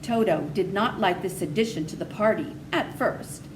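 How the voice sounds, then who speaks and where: A woman's voice: medium pitch, moderate pace, noisy background. A woman reading a children's story aloud as narrator. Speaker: story reader.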